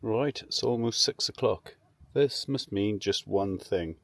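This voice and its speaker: A man's voice: on the low side, takes it slowly. A British man talking casually to camera as a vlogger, in a chatty, informal, sweary way. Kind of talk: casual rambling vlog chat.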